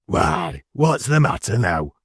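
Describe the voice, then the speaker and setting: A man reading aloud and voicing a blustering, self-important rich man, loud, gruff and indignant. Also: on the low side, very animated, slow, no room sound, clean studio sound, exaggerated voice. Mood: surprised, gruff.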